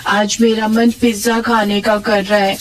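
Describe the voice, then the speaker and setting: A woman's voice: medium pitch, little pitch movement, unhurried, far from the mic, lightly acted, poor audio. A woman voicing a wistful little daughter pleading with her mother in a children's storybook tale.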